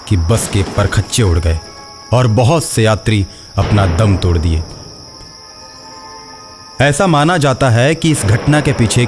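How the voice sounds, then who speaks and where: A man narrating a scripted horror story as the storyteller. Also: fairly low pitch.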